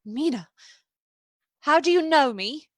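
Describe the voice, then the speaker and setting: A woman's voice: sits high, expressive, slow, dry recording, studio quality. A woman playing an agitated but courteous male asylum patient in a radio play, speaking in a formal, urgent manner. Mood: polite, unsettled.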